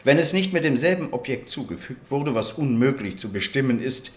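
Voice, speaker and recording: An actor playing a precise, matter-of-fact forensic doctor in a German radio crime drama, explaining clinically. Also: male voice, medium pitch, animated, a little fast, close to an everyday voice. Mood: clinical, puzzled. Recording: roomy, echoing studio scene.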